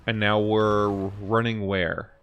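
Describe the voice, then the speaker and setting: Low-voiced man reacting to a game. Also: flat delivery, slow pace, fairly bright tone. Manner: informal, thinks aloud in questions. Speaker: gaming reaction host. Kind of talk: casual reaction commentary.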